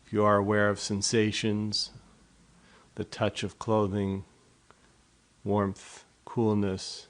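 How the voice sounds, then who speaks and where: A man leading a guided body scan meditation in a slow, soft, unhurried way. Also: on the low side, completely flat delivery.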